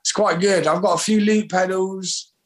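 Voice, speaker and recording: Chill guy, musician rambling about his gear, a bit high voice. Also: normal speed. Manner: relaxed, rambling, self-deprecating. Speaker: musician guest. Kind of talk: casual interview chat.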